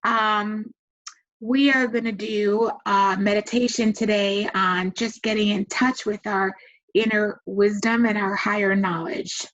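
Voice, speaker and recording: A woman guides a meditation at a very slow, unhurried pace. She gives soothing, gentle instructions and leaves long pauses between them. Her pitch is mid-range, and the recording is somewhat dull and muffled. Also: fairly even intonation. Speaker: meditation guide and massage therapist.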